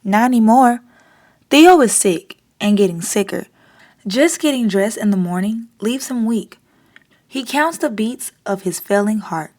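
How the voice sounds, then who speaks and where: High-pitched woman reading a children's story. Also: expressive, unhurried, a little mellow. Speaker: storytime host reading aloud. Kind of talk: children's picture book read-aloud.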